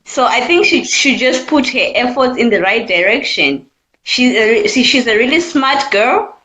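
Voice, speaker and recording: Zimbabwean woman, twenties, earnest caller, slightly high pitch, echoey. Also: expressive, moderate pace, fairly bright tone. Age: 20s. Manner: earnest, repeats her points. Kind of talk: call-in opinion chat.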